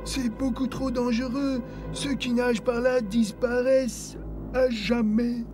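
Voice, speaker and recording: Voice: mid-range voice, little pitch movement, takes it slowly, natural voice. A performer voicing a friendly, naive, anxious talking fish in a cartoon, simple and earnest. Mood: alarmed. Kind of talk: cartoon dub in French. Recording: music behind the voice.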